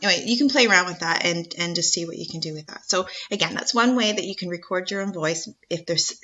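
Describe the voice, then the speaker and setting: A woman teacher talking students through a screen-share software tutorial in a chatty, encouraging way. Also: on the low side, fast, a little hiss or hum, low-quality recording.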